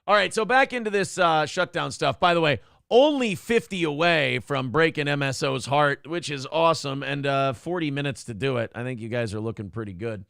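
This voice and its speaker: A man's voice: a little high, brisk, creaky, hardly any pauses, a bit bright, smooth, no room sound, studio quality. A man hosting a casual morning talk show, brash and profane, reading viewer donations aloud and riffing on politics.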